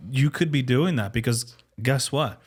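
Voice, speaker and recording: A man's voice: mid-range voice, normal speed, slightly rough. A podcast host in his thirties with an ordinary mid-range male voice. He talks loosely and conversationally and tends to trail off mid-sentence. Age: 30s.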